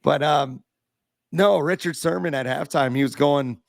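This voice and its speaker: A man on a sports podcast, hoarse and raspy from being sick, talking bluntly and with self-deprecating humor. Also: on the high side, measured pace, dry recording, studio quality. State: hoarse from illness. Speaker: podcast co-host. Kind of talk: casual sports podcast talk.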